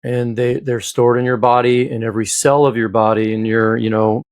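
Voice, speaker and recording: A man's voice: mid-range voice, level tone, normal speed, no room sound, studio quality. A man co-hosting a podcast, speaking in a reflective, thoughtful way as he interviews a guest. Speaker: podcast co-host.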